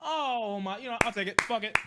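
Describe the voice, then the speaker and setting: A man's voice: sits high, a little fast, loud music behind the voice. A man chatting and swearing over a racing game with friends, often exclaiming and laughing. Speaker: gaming friend. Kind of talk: casual gaming chat.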